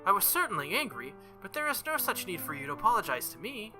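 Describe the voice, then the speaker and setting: A man's voice: sits high, big pitch swings, quick pace, pitched above the performer's own voice. A man voicing a stern, earnest young woman knight with formal phrasing, reading visual novel dialogue over music. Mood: softened, concerned.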